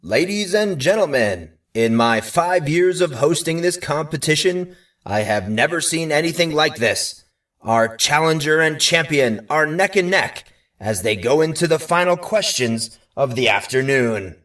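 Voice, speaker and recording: A man voicing a polished, smooth-talking competition host addressing a big crowd in an audiobook story. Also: fairly high pitch, animated, a little slow, a bit bright, distant mic, pitched above the performer's own voice. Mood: excited, suspenseful. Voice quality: smooth, silky. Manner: showmanlike, formal announcing.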